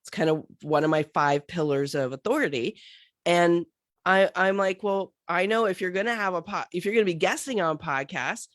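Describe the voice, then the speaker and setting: A middle-aged woman with a cold, hoarse and a bit scratchy, chatting animatedly as a podcast guest with many fillers. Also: on the low side, normal speed, dry recording, clean studio sound. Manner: animated, thinks aloud with many fillers and restarts. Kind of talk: casual interview chat.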